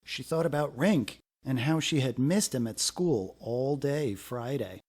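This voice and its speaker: A man narrating a children's story aloud in an expressive, deliberate way with dramatic phrasing. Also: medium pitch.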